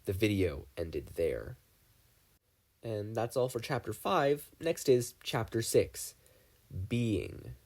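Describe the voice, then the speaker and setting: A man narrating a fan fiction audiobook with expressive storytelling. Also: fairly low pitch, takes it slowly. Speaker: podfic reader.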